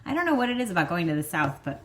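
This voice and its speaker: A woman's voice: lowish voice, big pitch swings, very fast, fairly bright tone, a little hiss or hum. A woman in her thirties with a Michigan accent, hosting a vlog in chatty, rambling casual talk full of fillers. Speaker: vlog host. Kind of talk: casual chat.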